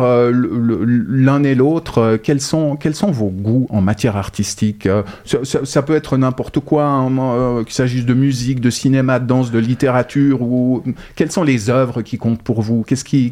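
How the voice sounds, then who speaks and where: A male interview host asking questions in a measured way, searching for his words. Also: medium pitch, warm tone. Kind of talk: radio-style interview questions.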